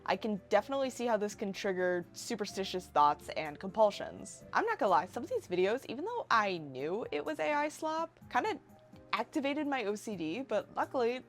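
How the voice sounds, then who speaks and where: A woman's voice: mid-range voice, very animated, brisk, soft background music. A woman in her twenties narrating a casual scripted video essay commentary, wry and given to sarcastic asides. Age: 20s.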